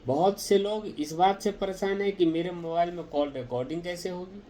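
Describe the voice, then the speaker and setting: A man explaining a legal ruling to viewers in a direct, deliberate, explanatory way. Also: sits high, warm tone, slight background noise.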